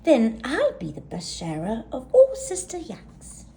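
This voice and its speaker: An eager, anxious little yak girl from a storybook, played by a woman reader. The voice sits high and swoops expressively, earnest in every phrase. It has a soft edge rather than a bright one. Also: huge pitch swings, unhurried, slight background noise, exaggerated voice.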